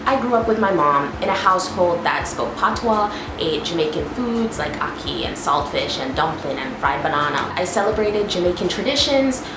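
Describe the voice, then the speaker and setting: A woman's voice: lowish voice, animated, moderate pace, hardly any pauses. A young adult American woman from the Northeast talks casually and emphatically to viewers, explaining things like a vlogger. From northeastern United States. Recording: roomy echo, clearly audible background noise.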